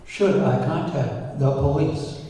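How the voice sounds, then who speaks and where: A man's voice: a little high, takes it slowly, mellow. A man voicing a formal, watchful danger-detecting AI assistant in a script table read. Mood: formal alert. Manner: formal, procedural announcements. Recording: very echoey hall, distant mic, faint noise.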